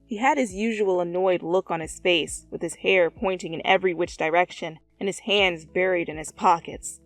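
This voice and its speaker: Female narrator for an expressive audio story reading, dry studio-like sound with a light music bed. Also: lowish voice, normal speed, no echo, poor audio. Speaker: narrator, fan fiction reader. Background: background music.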